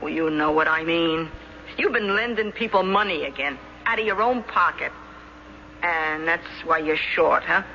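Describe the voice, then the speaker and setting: A sharp, wisecracking working-class landlady. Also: low-pitched, normal speed. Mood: scolding. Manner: colloquial, wry, no-nonsense. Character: blunt, wisecracking working-class landlady, scolding but fond. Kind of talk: radio drama acting. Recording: slightly noisy, dull old radio broadcast.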